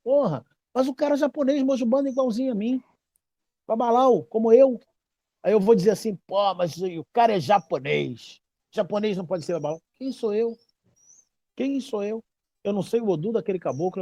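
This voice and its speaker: A man's voice: high voice, brisk, no echo. Emphatic Brazilian man in his fifties. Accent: Brazilian Portuguese. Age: 50s. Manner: emphatic, repeats phrases, lively storytelling. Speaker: babalawo teacher. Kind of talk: casual explanatory talk.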